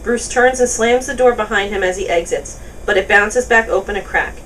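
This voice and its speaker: A woman's voice: medium pitch, moderate pace, fairly bright tone. A woman reading stage directions as scripted narration at a table read. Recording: distant mic, noisy room.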